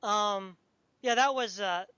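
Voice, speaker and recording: A woman's voice: medium pitch, slow pace, fairly bright tone, smooth, dry recording, lo-fi sound. A woman chatting casually as a podcast interviewer, talking in a rambling, enthusiastic way like a fan.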